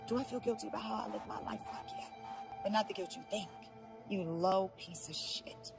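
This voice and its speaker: A woman's voice: mid-range voice, fairly quick, natural voice. An actress playing a troubled, guarded married woman in a naturalistic drama, with blunt, streetwise, profane speech. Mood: bitter, pained. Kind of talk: naturalistic screen drama acting. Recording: loud music behind the voice. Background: music.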